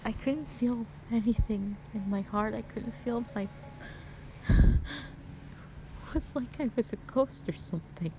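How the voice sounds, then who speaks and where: A woman playing a traumatised, anguished young woman in an audio drama monologue, speaking haltingly and often hushed. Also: fairly high pitch, noisy background. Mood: grieving, numb. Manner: halting, hushed, breaking into whispers. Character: traumatised young woman confessing a harrowing past, anguished, broken. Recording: music behind.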